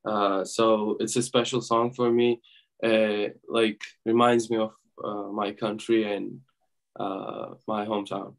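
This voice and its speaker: A young man from Turkey, a guest musician, answering interview questions softly with many fillers and pauses. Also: on the low side, little pitch movement, gravelly. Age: young adult. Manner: soft-spoken, full of fillers and pauses.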